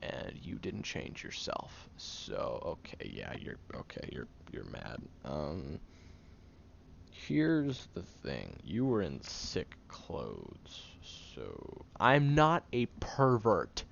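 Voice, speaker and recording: A man's voice: low-pitched, wildly swooping pitch, slow, a bit bright, dull audio, slight background noise, exaggerated voice. A man playing a prickly, defensive young man who is sarcastic but secretly caring, in an audio roleplay spoken to the listener. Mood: teasing, awkward. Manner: gruff, sarcastic, flustered tsundere bickering.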